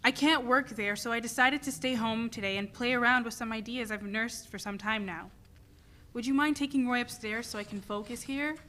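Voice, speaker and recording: A woman's voice: a little high, moderate pace, bright, forceful tone, slight background noise. A woman playing a stressed, driven mother and restaurant owner in a dramatic play reading. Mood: determined, preoccupied.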